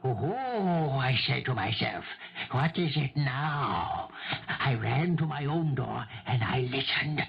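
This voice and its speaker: An actor playing a cagey, fearful older male neighbor in a 1970s radio mystery, evasive and halting, often repeating himself. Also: medium pitch, animated, gravelly, slightly rough, heavily acted. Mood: nervous recounting. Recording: dull old radio broadcast recording.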